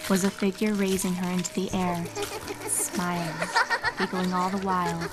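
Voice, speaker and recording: A woman's voice: lowish voice, flat delivery, normal speed, over loud music. A woman giving a dramatic scripted narration of a horror story.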